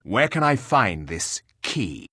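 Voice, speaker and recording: A terse, impatient warrior from a fantasy game. He is husky and middling in pitch, with emphatic swings in intonation and a dry, close sound. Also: sing-song, slow, bright, forceful tone, no echo, heavily acted. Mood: stern, businesslike. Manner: blunt, terse, rude. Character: blunt, impatient resurrected warrior, gruff male hero. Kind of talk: fantasy video game dialogue acting.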